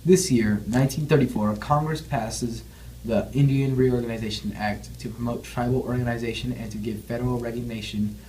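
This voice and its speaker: A man's voice: medium pitch, steady tone, fairly quick, mellow, slight background noise, low-quality recording. A young man reading scripted news as an anchor and reporter.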